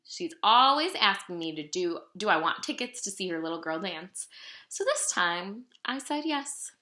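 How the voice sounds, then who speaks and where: A wise Trinidadian grandmother from a children's storybook, as a woman reader plays her. She talks in a folksy, reassuring way with animated rises and falls. The sound is bright and a little echoey. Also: medium pitch, normal speed. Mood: fond, proud. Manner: folksy, encouraging. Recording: roomy, echoing room sound.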